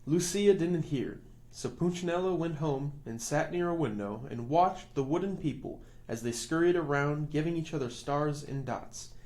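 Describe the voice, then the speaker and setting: A man narrating a children's storybook aloud as a storyteller, with expressive delivery. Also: a little high, normal speed.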